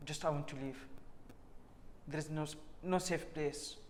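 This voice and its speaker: Interviewee: young man from Syria, fairly high voice, terse, trails off softly, long reverb, faint hum. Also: steady tone, unhurried. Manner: short, plain answers, voice trailing off quietly. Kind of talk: casual interview answers.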